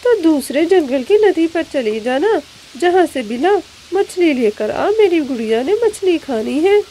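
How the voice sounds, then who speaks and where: A woman's voice: high voice, big pitch swings, a little slow, dark tone, a little hiss or hum, voice pushed up, rough recording. A woman voicing a cruel, bullying stepmother bird who scolds harshly in a cartoon story. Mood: bossy, demanding.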